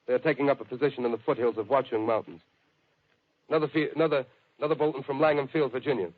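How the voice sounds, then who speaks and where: A man acting as an urgent radio announcer from the 1930s, firing off bulletins quickly and formally. His clipped delivery comes through a thin, tinny old broadcast. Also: mid-range voice, steady tone, natural voice. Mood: urgent, grave. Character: urgent male radio news announcer reading war bulletins.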